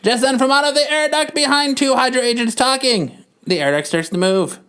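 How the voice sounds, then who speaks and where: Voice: sits high, a little fast, a bit bright, clean tone. A podcast host narrating a comic book dramatically in an amateur, casual way.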